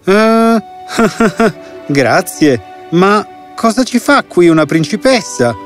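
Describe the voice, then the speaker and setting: A man's voice: sits high, lively intonation, very slow, heavily acted. A man playing a brave, earnest and respectful boy hero in a children's fairy tale. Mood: grateful. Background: music.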